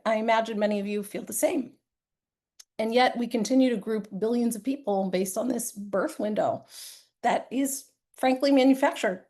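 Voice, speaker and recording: A woman presenting at a conference session, hoarse from a cold and often clearing her throat, talking earnestly and conversationally with frequent fillers. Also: on the high side, darkish tone. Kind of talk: live-streamed conference talk.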